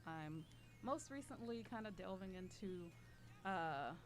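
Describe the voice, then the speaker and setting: A woman's voice: fairly low pitch, expressive, measured pace, soft background music. A woman in her late forties from Las Vegas, chatting casually as a guest poet and author. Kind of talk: casual panel chat.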